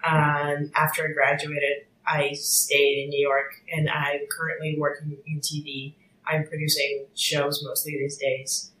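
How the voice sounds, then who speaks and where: A woman's voice: low voice, completely flat delivery, a little slow, fairly bright tone. Woman in an echoey room. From Russia. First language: Russian. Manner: thoughtful, hesitant with many fillers. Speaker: podcast guest. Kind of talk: casual chat.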